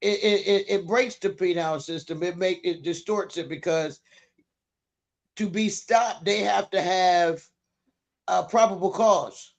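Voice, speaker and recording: A man's voice: high voice, unhurried, gravelly. A man in an opinionated casual panel discussion, talking colloquially and rhetorically. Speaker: talk show panelist.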